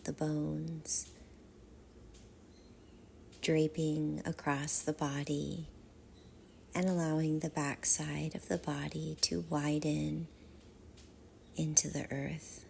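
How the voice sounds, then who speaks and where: A woman leading a guided meditation in a soft, half-whispered, soothing voice, with measured phrases and long pauses. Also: low-pitched, flat delivery, creaky, slight background noise.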